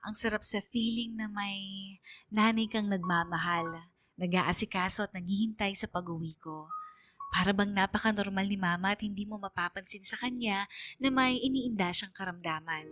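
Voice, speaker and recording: A devoted teenage daughter, voiced by a woman, tells her own story in a mid-pitched voice. The narration is earnest and heartfelt with dramatic pauses, over a musical bed in radio drama style. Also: fairly bright tone, natural voice. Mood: happy, hopeful. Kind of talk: first-person radio drama letter narration.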